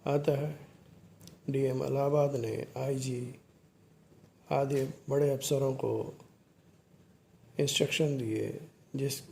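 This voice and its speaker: A man giving explanatory commentary to viewers in short, halting phrases, as the narrator of a video. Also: medium pitch, gravelly, darkish tone, slight background noise.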